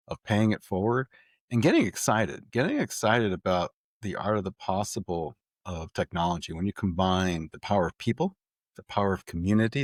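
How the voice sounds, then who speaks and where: A middle-aged man from Puerto Rico, a tech executive guest telling his life story in an animated way, laughing often and repeating words as he starts sentences. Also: lowish voice, no room sound, clean studio sound. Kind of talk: conversational storytelling.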